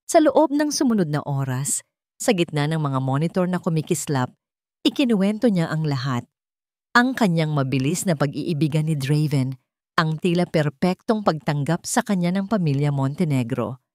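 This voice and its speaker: A woman's voice: deep voice, darkish tone, no echo, studio quality. A woman with a Filipino accent reading a scripted, dramatic story as its narrator.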